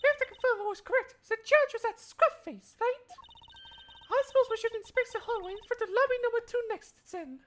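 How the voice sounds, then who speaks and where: A man's voice: very high voice, brisk, a bit bright, a little hiss or hum, voice pushed up. A man voicing a haughty, precocious young girl prosecutor in a prim, self-assured game fandub manner.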